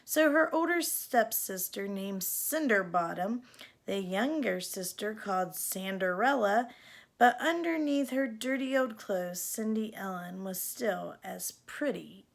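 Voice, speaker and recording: A woman reading a children's storybook aloud in an expressive storytelling style. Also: medium pitch, measured pace, gravelly. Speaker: storybook reader.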